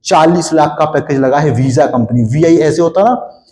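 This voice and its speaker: Man, physics teacher, high-pitched, sore throat, coughing, asks and answers his own questions, echoey studio. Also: normal speed, warm tone. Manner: explains step by step, asks students questions and answers them himself. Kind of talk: classroom physics lecture. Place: studio with a board, hot, no air conditioning.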